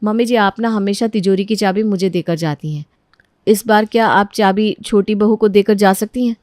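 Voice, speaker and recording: A woman's voice: medium pitch, moderate pace, darkish tone, dry recording, clean studio sound, lightly acted. A woman voicing a proud, scheming young daughter-in-law with a falsely sweet, insistent manner in a moral story. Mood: sweetly persuasive. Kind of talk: Hindi moral story character acting.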